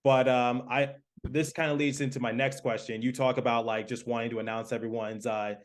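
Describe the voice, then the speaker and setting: A man's voice: mid-range voice, little pitch movement, a little fast. A man hosting a sports podcast, interviewing in a casual, conversational way.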